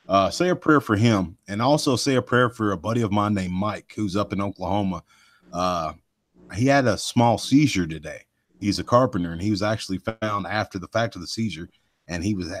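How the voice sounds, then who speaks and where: A man hosts a live show in a deep, low voice that carries without effort. He rambles in a folksy way, full of fillers and asides to his listeners. The sound is dry and close, with no room around it. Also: no echo. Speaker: host of a cryptid research live show. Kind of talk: casual live podcast chat.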